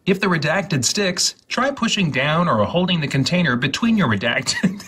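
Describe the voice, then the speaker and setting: A man reading instructions aloud for comedy in a deliberate, over-enunciated way. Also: on the high side, big pitch swings, sharp tone, slightly rough. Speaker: host of a hobby video channel.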